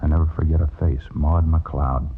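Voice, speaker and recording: A man's voice: extremely low pitch, fairly even intonation, moderate pace, creaky. A man playing an eager, stubborn old circus hand in a radio drama, with folksy, rambling, slangy speech. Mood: eager, confiding. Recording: dull, faintly noisy vintage radio recording.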